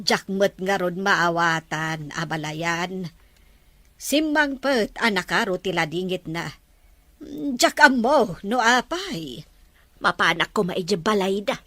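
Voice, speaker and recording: A woman's voice: mid-range voice, fairly bright tone, no room sound. A woman playing a concerned, talkative middle-aged Filipino mother who chides and counsels in a family drama. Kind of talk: naturalistic drama dialogue.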